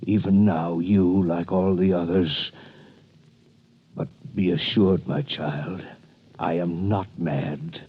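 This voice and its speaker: An actor playing an old, dying priest in a prison, speaking slowly and solemnly in an old radio drama. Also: male voice, low-pitched, steady tone, breathy edge, exaggerated voice. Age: older. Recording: tinny old radio broadcast.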